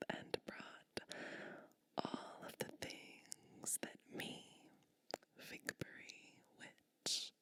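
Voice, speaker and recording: A woman whispering a soothing ASMR roleplay as a gentle, whimsical sleep witch, speaking breathily and deliberately with pauses between short phrases. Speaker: ASMR performer playing a bedtime witch.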